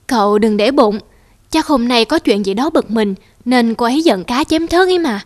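A woman's voice: fairly high pitch, lively intonation, normal speed, dry recording, studio quality, close to an everyday voice. A woman voicing a loyal, earnest young householder in a cartoon folk tale, with an emotive, pleading delivery. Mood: conciliatory. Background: music.

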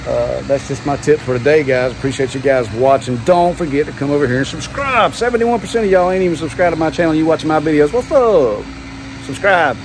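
A man's voice: fairly high pitch, a little fast. A man, talking casually to a phone camera about his pressure washing business, chatty and laid-back with lots of "um" and "man". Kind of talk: casual vlog talk to camera. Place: outdoors under a covered patio at a large house. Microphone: phone held at arm's length. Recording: outdoor phone audio with engine noise. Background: pump engine and vehicle noise.

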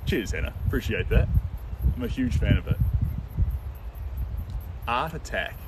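A young man chatting casually with viewers while painting on a livestream, relaxed with frequent ums. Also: medium pitch, unhurried. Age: young adult. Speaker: painter livestreaming his work. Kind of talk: casual livestream chat answering viewer comments. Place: outdoors on a lawn under open sky. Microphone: camera standing a few meters away. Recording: distant mic, loud outdoor wind and leaf noise.

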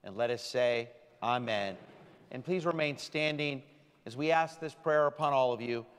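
A man giving a sermon as rabbi to his congregation, speaking with slow, deliberate emphasis. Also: mid-range voice, smooth. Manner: warm, deliberate preaching with slowed emphasis. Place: large synagogue sanctuary. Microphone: stand mic from a far camera. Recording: long hall reverb.

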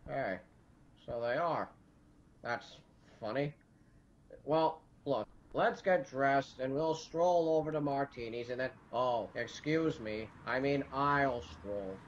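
A man's voice: medium pitch, slow pace, highs cut off, natural voice. A man playing an agitated, impatient family man in a radio drama, stammering and incredulous. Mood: brusque, wry.

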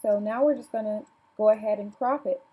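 A woman presenting a software tutorial, calmly walking viewers through each click step by step. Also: medium pitch, brisk, slightly rough, low-quality recording. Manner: plain instructional, walks through clicks calmly. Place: home desk, filming a computer screen.

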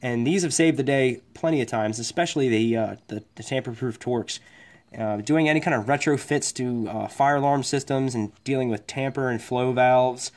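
A man in his workshop talks through his work tools in a loose, rambling way. He is frank and swears casually, like an electrician chatting to other tradesmen. His voice sits at an ordinary middle pitch. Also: moderate pace. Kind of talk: casual tool review. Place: workshop bench, indoors.

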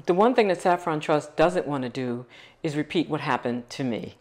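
A woman's voice: deep voice, expressive, normal speed, a little mellow. A middle-aged woman, a foundation CEO, speaking passionately and emphatically in an interview on a couch with a lapel mic. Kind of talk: interview conversation. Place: living room set on a couch.

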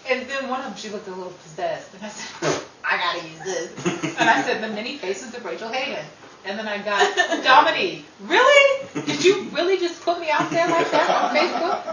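A lively, high-voiced woman tells stories with the cast, laughing often and swinging her pitch freely. She is caught on a distant room mic, so her voice sounds roomy and far off, with a steady hiss underneath. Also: brisk. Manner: laughing, chatty, animated storyteller. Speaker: show creator. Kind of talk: casual banter at a table read. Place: small dining room set, indoors.

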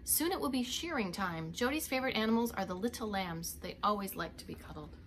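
A middle-aged woman reads a children's story in a bright, very lively voice that rises and dips. She speaks as a preschool teacher to little listeners, adding short asides. There is some noise in the room behind her. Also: mid-range voice, brisk. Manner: animated, expressive reading for young children. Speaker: preschool teacher reading aloud. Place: indoors, home living room.

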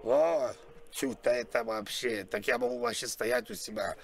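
A man's voice: mid-range voice, normal speed, soft background music, close to an everyday voice. A man playing a boastful taxi driver in a prank phone call, rambling and slangy. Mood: curious.

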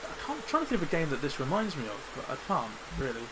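A young man casually commenting on a game as he plays, joking and animated. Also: a little high, racing, background noise. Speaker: gaming channel host. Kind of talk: casual let's play commentary.

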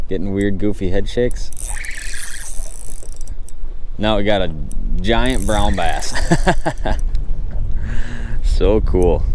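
Deep-voiced man, casual fishing commentary outdoors. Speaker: fishing vlogger. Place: open boat on a windy lake, outdoors. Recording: outdoor boat and water noise.

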